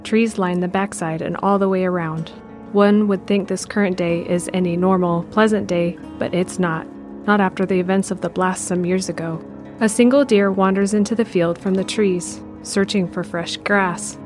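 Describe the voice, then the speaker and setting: Measured woman narrating a drama. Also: fairly low pitch, fairly even intonation, darkish tone, faint music behind. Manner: measured, descriptive. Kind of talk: scripted narration.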